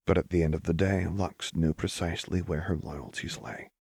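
Low, husky male audiobook narrator. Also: fairly even intonation, moderate pace, a little mellow, dry recording, studio quality. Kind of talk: scripted fiction narration.

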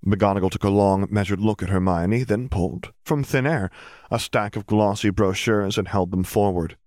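A man's voice: on the low side, moderate pace, a little mellow, no echo, studio quality. A man reading fiction aloud as scripted audiobook narration.

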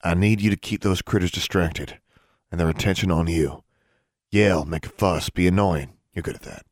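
A commanding teenage wizard boy, voiced by a man narrating an audiobook. He speaks tersely and keeps things low-key, brusque on the surface with care underneath. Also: normal speed, dry recording, clean studio sound. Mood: snapping, commanding. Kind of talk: audiobook character acting.

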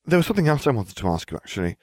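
A man's voice: mid-range voice, sing-song, racing, no room sound, studio quality, voice pushed up. A man voicing an earnest, bookish twelve-year-old schoolgirl in a storybook reading. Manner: earnest, softly insistent.

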